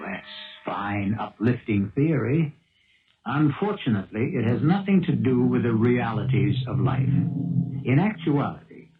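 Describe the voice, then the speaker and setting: A man playing the host of a 1970s radio mystery drama, measured, rhetorical and sardonic, on a muffled old broadcast. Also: medium pitch. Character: wry, philosophizing male host of a mystery drama. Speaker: host and narrator.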